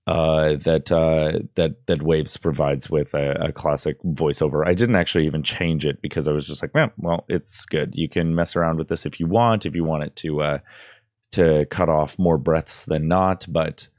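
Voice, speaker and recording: A man's voice: extremely low pitch, fairly even intonation, normal speed, darkish tone, no echo. A young man hosting a casual audio tutorial, rambling with many fillers and restarts, close to a studio microphone in a small room. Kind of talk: casual screen-recorded tutorial. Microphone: studio microphone close up, headphones on.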